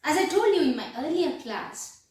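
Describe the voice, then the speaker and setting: Woman, Indian English accent, fairly high voice, deliberate lecture delivery, repeated phrases, strong room echo. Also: lively intonation, darkish tone. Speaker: college English professor. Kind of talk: classroom lecture on a play. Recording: distant mic in echoey room.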